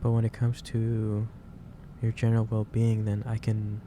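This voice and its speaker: A man playing a gentle, caring office boss in a soft-spoken ASMR roleplay, speaking haltingly and repeating himself. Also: lowish voice, monotone, noisy background, lightly acted. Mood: caring, reassuring. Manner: hesitant, halting, repeats phrases. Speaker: roleplay performer.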